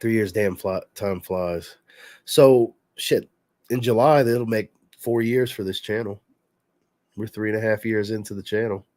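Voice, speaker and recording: A man's voice: on the low side, unhurried, no room sound, studio quality. A man who is congested and stuffy, casually answering chat questions as a fragrance reviewer on a livestream. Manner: relaxed, reads chat questions aloud and answers conversationally.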